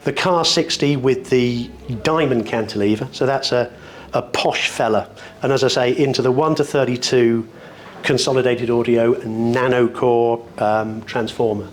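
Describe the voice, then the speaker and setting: A man's voice: mid-range voice, fairly even intonation, a little slow, slight background noise. A British man presenting products at a hi-fi show, talking off the cuff in an articulate, discursive, wryly enthusiastic way with florid wording. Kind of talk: unscripted product demonstration talk.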